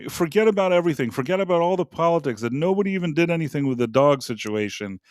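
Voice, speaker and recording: A man's voice: fairly high pitch, lively intonation, fast talker, darkish tone, dry recording. A man co-hosting a casual live stream, rambling and trailing off into restarts as he reads viewer messages. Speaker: co-host.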